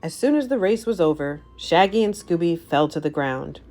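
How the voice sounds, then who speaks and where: Female children's read-aloud narrator, lowish register, animated intonation, soft music underneath. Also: normal speed.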